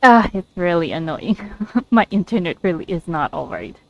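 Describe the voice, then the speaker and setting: A woman's voice: on the low side, sing-song, moderate pace, muffled recording, background noise. A woman giving casual gameplay commentary as a streamer, with a giggly way of talking. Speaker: game streamer.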